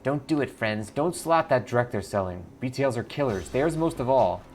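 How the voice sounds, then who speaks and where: A man's voice: mid-range voice, expressive, moderate pace, darkish tone, slight background noise, lightly acted. A man voicing a careworn, wary woman in her thirties in a game, speaking in short plain sentences. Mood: grieving, pleading.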